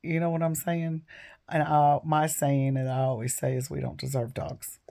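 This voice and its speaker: Hoarse, gravelly woman with a cold. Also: sits low, little pitch movement, normal speed, warm tone. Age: 50s. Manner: rambling, digressive, earnest. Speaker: vlogger. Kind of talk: casual storytelling to camera. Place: at home with her dogs.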